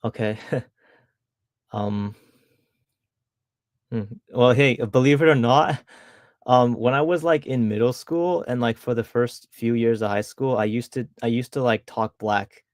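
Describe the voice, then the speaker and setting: A man's voice: mid-range voice, unhurried, long pauses, no room sound, studio quality. A young man streaming casually, reading out chat messages and rambling with frequent restarts, his tongue held back from an underbite. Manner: rambling, self-correcting, laughs at himself.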